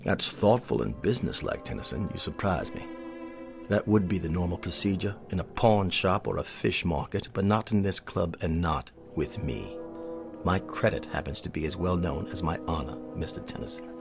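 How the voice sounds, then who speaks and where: A haughty colonel in an audio drama. The voice is low and formal, pompous and measured, set over clear background music. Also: male voice. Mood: cold, haughty. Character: haughty, wealthy club colonel, stern and exacting.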